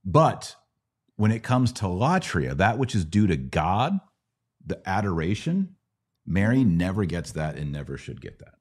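Middle-aged American man, very low voice, casual didactic explaining, podcast host, frequent check-ins. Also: big pitch swings, unhurried, breathy edge. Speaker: podcast host answering Bible questions. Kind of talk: casual explanatory religious teaching.

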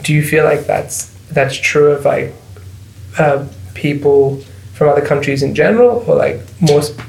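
A man's voice: on the high side, moderate pace, gravelly, darkish tone. A man hosting a podcast, asking questions hesitantly and restarting them. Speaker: podcast host. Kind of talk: interview questions. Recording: echoey room.

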